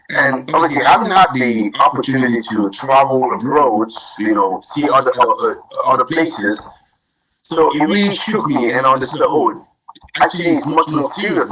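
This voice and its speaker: A man who grew up in Cameroon presents in a webinar. He speaks over a video call, restarts often and fills pauses. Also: mid-range voice, low-quality recording. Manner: many restarts and fillers, rising energy.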